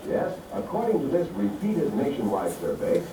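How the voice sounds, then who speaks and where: Man playing a lively vintage radio pitchman. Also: moderate pace, vocal fry, full character voice. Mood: confident, selling. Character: slick, confident 1940s cigarette advertisement pitchman. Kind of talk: vintage radio commercial acting. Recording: noisy, distant, echoey stage recording.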